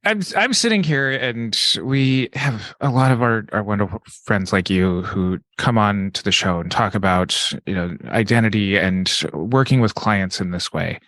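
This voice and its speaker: A man's voice: on the low side, normal speed, few pauses, a bit bright, dry recording. A man hosting a podcast, asking a long question while thinking aloud. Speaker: podcast host. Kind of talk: casual interview question.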